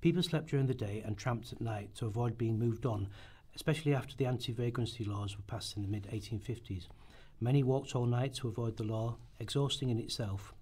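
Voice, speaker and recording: A man's voice: mid-range voice, fairly quick, mellow, breathy edge. A man reading scripted historical narration as the narrator of a radio documentary drama. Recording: amateur rehearsal recording.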